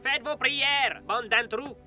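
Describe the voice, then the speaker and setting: A man voicing a gruff, teasing training mentor in an animated series. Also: very high-pitched, slow, soft background music, exaggerated voice. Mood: gruff, amused. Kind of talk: French animated series dub acting.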